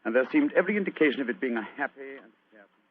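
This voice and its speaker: A man playing a cool, dryly amused gentleman detective, measured in delivery, in an old-time radio drama. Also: medium pitch, animated, lightly acted. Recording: narrow-band old radio recording with hiss.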